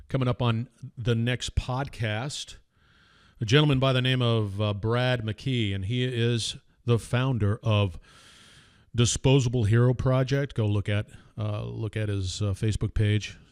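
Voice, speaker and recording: A man hosting an industrial podcast, introducing a guest in a big, energetic voice full of fillers and restarts. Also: medium pitch, measured pace, clean tone, dry recording, clean studio sound. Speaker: podcast host. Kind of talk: casual podcast intro and interview.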